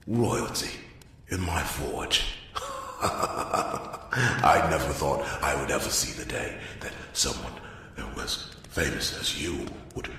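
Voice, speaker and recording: A man playing a chatty, bashful dwarf blacksmith in an ASMR roleplay, rambling and stammering as he corrects himself in a gravelly voice. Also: huge pitch swings, slow pace, sharp tone, heavily acted. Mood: flustered delight. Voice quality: very deep, gravelly. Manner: rambling, stammering, flustered repetitions and self-corrections. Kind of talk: ASMR roleplay character monologue. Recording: very echoey room, distant, faint noise.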